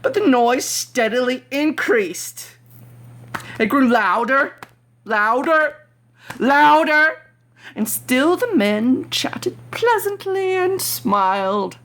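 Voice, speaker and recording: Woman acting out an unhinged, slow-talking storybook murderer. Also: high-pitched, animated, fairly bright tone, pitched above the performer's own voice, full character voice. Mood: agitated, mounting panic. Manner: deliberate, theatrical, intense. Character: unhinged murderer, smug and cunning, growing frantic. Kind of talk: dramatic horror story reading.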